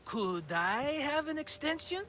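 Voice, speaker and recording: A man's voice: extremely high pitch, big pitch swings, measured pace, pushed and bright, exaggerated voice. An actor playing a nervous, wheedling male schemer in a video game, theatrical and ingratiating. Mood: nervous, placating. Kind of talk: cinematic game cutscene dialogue.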